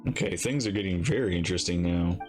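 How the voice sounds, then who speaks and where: Very deep-voiced man, thinking aloud. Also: darkish tone, soft background music. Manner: musing, halting, thinking aloud. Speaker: reaction video host. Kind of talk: casual live commentary on a game.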